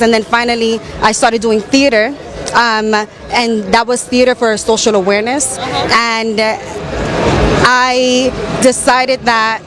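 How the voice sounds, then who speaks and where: A woman from the Caribbean and New York City giving candid personal testimony as an interview guest, halting as she searches for words. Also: a little high. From born in the Caribbean, raised in New York City. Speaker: interview guest, survivor advocate and theater storyteller.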